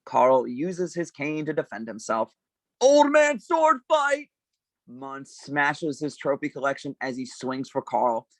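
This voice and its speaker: A man's voice: fairly high pitch, wildly swooping pitch, takes it slowly, fairly bright tone, no echo. A man reading stage directions as scripted narration at an informal table read.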